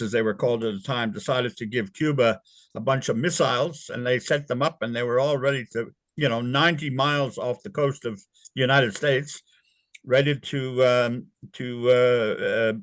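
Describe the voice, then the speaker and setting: Older man reminiscing, halting and dry. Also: mid-range voice, fairly even intonation, a bit bright, no echo. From born in England, raised in Canada. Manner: halting, with many fillers and restarts. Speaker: interview guest, former navy sailor. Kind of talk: casual recollection in an interview.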